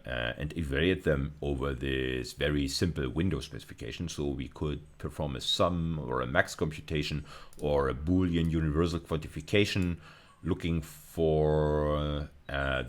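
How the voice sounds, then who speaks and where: A man giving an explanatory lecture, speaking deliberately and emphatically, often repeating and rephrasing key phrases. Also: rumbling low. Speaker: university lecturer.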